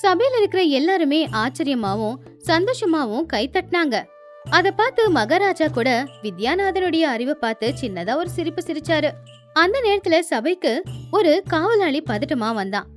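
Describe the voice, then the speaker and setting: A woman narrating a scripted animated moral story. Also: high-pitched, moderate pace, faint music behind.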